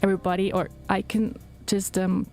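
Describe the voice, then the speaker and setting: A woman's voice: mid-range voice, flat delivery, moderate pace, mellow, faint music behind. A woman singer with a Swiss German accent, a native Swiss German speaker, answering in English, hesitant and laughing often. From Zurich, Switzerland. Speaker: singer, guest. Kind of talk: interview answers.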